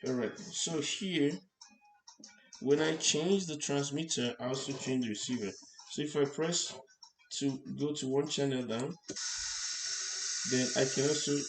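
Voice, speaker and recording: A man presenting an unscripted hands-on electronics demonstration, explaining step by step in halting short phrases. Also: medium pitch, rough recording. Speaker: tutorial presenter. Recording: clear background noise.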